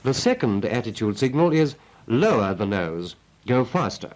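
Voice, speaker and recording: A man's voice: mid-range voice, very animated, normal speed. A British man narrating a 1940s military training film as an instructor, in a clipped, methodical and instructive manner.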